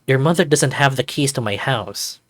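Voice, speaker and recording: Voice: mid-range voice, expressive, moderate pace, a bit bright, dry recording, studio quality. A narrator voicing a caring, slightly bossy young man in a visual novel, in a plain, matter-of-fact manner.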